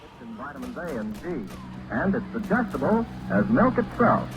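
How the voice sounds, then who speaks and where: A man's voice: on the high side, very animated, moderate pace, noisy background. A man announcing in polished, salesmanlike 1940s radio commercial style. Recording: dull old radio recording with music.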